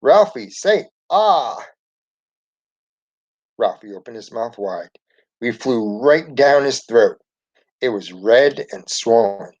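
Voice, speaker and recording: A man reading a children's storybook aloud in an expressive way. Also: medium pitch, a little slow. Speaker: storybook reader. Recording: dull lo-fi recording.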